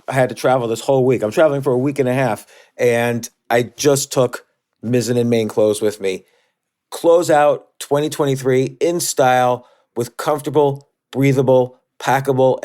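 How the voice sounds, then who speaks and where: A man's voice: mid-range voice, fairly even intonation, normal speed, darkish tone. A man delivering a sponsor read in a casual, personal, conversational style. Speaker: host reading a sponsor message.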